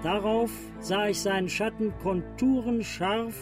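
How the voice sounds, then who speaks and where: A man's voice: sits high, steady tone, unhurried. A man acting as a sombre witness, narrating a bleak account in a radio drama. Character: male witness recounting devastation, bleak, sombre. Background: music.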